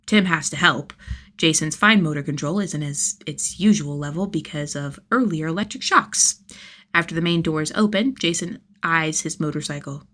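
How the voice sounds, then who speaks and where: A woman reading a scripted story aloud as an expressive fiction narrator. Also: low-pitched, normal speed. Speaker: podfic narrator.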